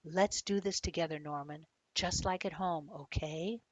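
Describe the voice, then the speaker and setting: A woman's voice: low voice, measured pace, dry recording. A woman voicing an earnest, nervous, eager child with a pet goldfish in a storybook, speaking with emphatic encouragement. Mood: gentle reassurance. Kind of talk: storybook read-aloud character voice.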